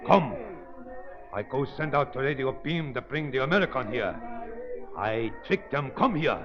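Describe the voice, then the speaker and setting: An actor playing a gloating mad scientist in 1930s radio drama, speaking broken English with a foreign accent in a theatrical way. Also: male voice, medium pitch, animated, normal speed, over music, close to an everyday voice. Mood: triumphant, excited. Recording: muffled vintage 1930s radio recording.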